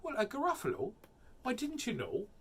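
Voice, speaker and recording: A man's voice: high-pitched, huge pitch swings, moderate pace, fairly bright tone, husky, pitched above the performer's own voice, heavily acted. A man voicing a small, crafty storybook mouse who is exaggeratedly polite and boastful, with a put-on accent. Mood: sly, boastful.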